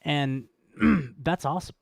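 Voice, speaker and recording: A man's voice: a little high, lively intonation, takes it slowly, vocal fry, dry recording. A man co-hosting a casual unscripted talk show, rambling, repeating phrases for emphasis and slipping in dry jokes. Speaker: co-host of a crypto show. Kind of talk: casual unscripted chat about cryptocurrency.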